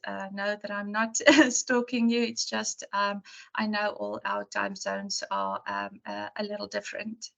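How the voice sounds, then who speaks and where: A woman lecturer with a hoarse, croaky voice, talking to her class in a chatty, rambling and apologetic way on a video call. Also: fairly high pitch, fairly bright tone. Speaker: university lecturer.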